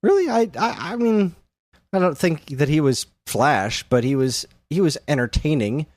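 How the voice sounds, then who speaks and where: A man's voice: a little high, very animated, normal speed, no echo. A man co-hosting a podcast in casual conversation, animatedly retelling things and acting out the odd quote. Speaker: podcast co-host. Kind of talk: casual chat about films.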